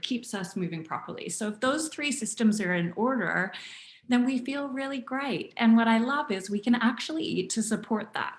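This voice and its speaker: Canadian-raised woman, chatty, echoey room. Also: medium pitch. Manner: chatty, frequent fillers, keeping voice down. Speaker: nutrition presenter. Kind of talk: casual online presentation. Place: home. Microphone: video call. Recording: echoey room on a video call.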